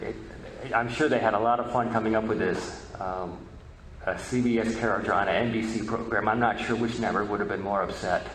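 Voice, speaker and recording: A man giving an informal history presentation, halting and often repeating words. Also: on the low side, fairly even intonation, a crowd in the background. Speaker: presenter and media researcher. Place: reverberant presentation hall. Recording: echoey hall, faint background noise.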